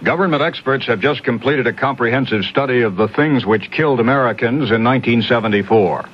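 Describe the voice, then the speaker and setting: A man's voice: mid-range voice, a little fast, slight background noise. 70s radio news guy reading headlines, mid voice, dull lo-fi am sound. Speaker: radio newscaster. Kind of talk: scripted 1970s radio news bulletin. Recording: dull lo-fi 1970s AM radio recording.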